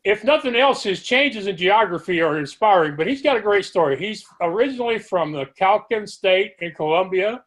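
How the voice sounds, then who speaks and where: A man hosts a casual interview show. He talks in a friendly, halting way and stumbles over names as he introduces his guest. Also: high voice, lively intonation, a bit bright. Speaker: podcast host.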